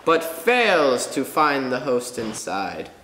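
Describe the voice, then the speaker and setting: A man's voice: mid-range voice, sing-song, slow pace, sharp tone. A man narrating a scripted story as the narrator of an amateur puppet show. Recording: echoey hall with background noise.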